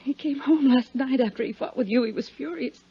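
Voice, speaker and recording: Casting: a fragile, clinging, fearful heroine, husky and breathy, dull-sounding vintage radio recording. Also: female voice, sits high, a little fast, full character voice. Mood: pleading, scared. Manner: pleading, fragile. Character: frightened, lonely, clinging daughter of a sea captain. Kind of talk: 1950s radio melodrama acting. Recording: muffled 1950s radio recording.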